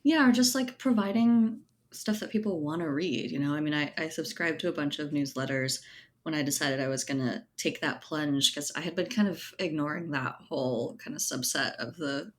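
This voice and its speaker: Low-voiced woman chatting in an interview. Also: moderate pace. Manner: conversational, thinking aloud, often tags points with "right?". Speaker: guest, writer and editor. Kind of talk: casual podcast interview chat.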